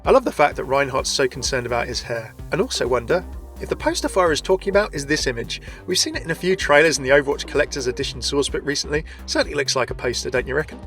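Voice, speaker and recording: A man's voice: medium pitch, lively intonation, quick pace, a bit bright, faint music behind. A man hosting a scripted game lore video, chatty and often addressing his viewers directly.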